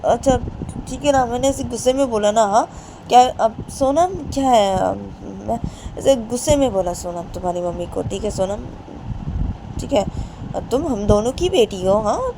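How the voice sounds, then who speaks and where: A woman's voice: a little high, lively intonation, normal speed, voice pushed up. A woman voicing an angry father who scolds his wife and then defends himself to his daughter. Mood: defensive. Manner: scolding, repeated questions. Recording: noisy recording.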